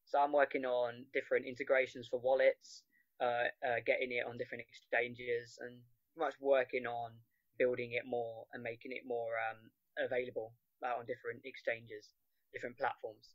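A man's voice: mid-range voice, flat delivery, moderate pace, no room sound. A teenage male developer giving a plain self-introduction as an interview guest. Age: teens, 15. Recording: slightly muffled remote call.

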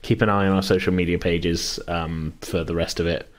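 A man's voice: low voice, level tone, moderate pace. A man hosting a casual, unscripted podcast chat, speaking in a hesitant, circling way. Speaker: podcast host and game master.

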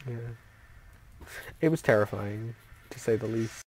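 A woman's voice: rumbling low, measured pace, a crowd in the background, poor audio. A young woman hosting a casual voice-chat story session, telling a story with many fillers and restarts. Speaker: host of a story-time voice chat. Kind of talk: casual storytelling over voice chat while gaming.

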